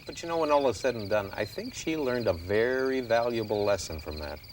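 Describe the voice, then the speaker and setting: A man's voice: mid-range voice, expressive, brisk, lightly acted. A man playing a grown-up who explains fables to children in a folksy way in a scripted children's video. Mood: easygoing. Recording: distant, noisy with chirping crickets.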